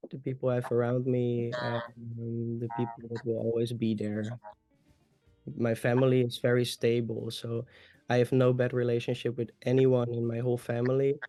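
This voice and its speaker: A man's voice: mid-range voice, vocal fry, a little mellow, no echo. Chatty young Austrian man, open and talkative. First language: German. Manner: open, chatty, with fillers and restarts. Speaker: guest. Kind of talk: casual conversation.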